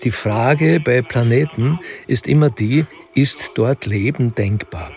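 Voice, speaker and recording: Gravelly wry male crime narrator. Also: medium pitch, slow, a little mellow. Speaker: narrator of a radio play. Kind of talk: literary scripted narration, crime radio drama.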